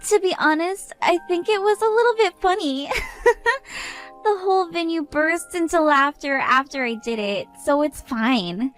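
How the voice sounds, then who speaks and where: A drunk young woman who taunts in a high, sing-song voice and breaks into giggles all the time, as a woman acts her out. Faint music plays underneath. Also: animated, measured pace, fairly bright tone, exaggerated voice. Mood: mocking tipsy.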